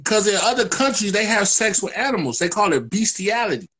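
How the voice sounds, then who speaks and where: A man's voice: high voice, big pitch swings, brisk, bright, forceful tone, slightly rough, poor audio. A man in his forties co-hosting a casual live video chat from home, joking and teasing in slangy banter, on a laptop mic. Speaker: co-host. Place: indoors at home. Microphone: laptop camera on video call.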